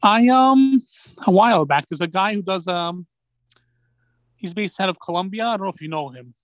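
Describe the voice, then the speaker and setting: A man's voice: high voice, moderate pace, no echo. A sociable man in his thirties with a New York accent, chatting casually as a podcast guest and laughing easily. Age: 30s. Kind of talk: casual interview chat.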